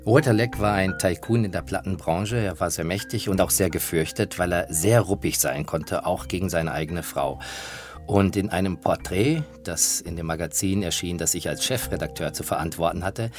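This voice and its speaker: Deep-voiced man narrating, music behind. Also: normal speed. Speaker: author and narrator, former magazine editor-in-chief. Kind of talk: narrated personal reminiscence for a radio feature.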